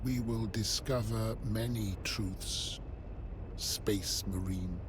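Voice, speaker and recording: Game casting: menacing inquisitor, unhurried, deliberate, coldly authoritarian, faint ambience behind. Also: male voice, on the low side, fairly even intonation, noisy background. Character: cold, menacing, suspicious inquisitor in grim sci-fi. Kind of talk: video game cutscene acting.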